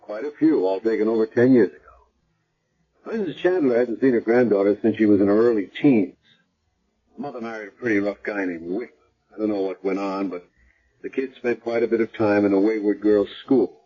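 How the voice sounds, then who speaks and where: A kindly, measured chaplain with a fairly low, husky voice. He speaks matter-of-factly in a 1970s radio drama, on a dull vintage recording. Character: male hospital chaplain, calm, probing, kindly investigator.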